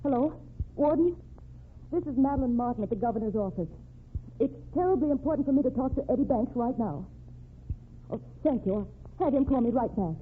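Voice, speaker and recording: A woman's voice: a little high, expressive, fairly quick, pauses often, natural voice. An actress playing a loyal, determined young American working girl in an old crime radio drama, earnest and plain-spoken. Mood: urgent. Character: loyal, determined working-class young woman, gangster's sweetheart. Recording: tinny noisy old radio recording.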